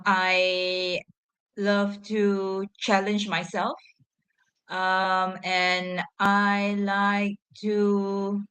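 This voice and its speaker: Woman from Singapore, slow careful speech, smooth clear voice, halting with fillers. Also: mid-range voice, fairly bright tone. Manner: halting, frequent fillers and pauses. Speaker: guest, education social entrepreneur. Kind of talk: interview answers.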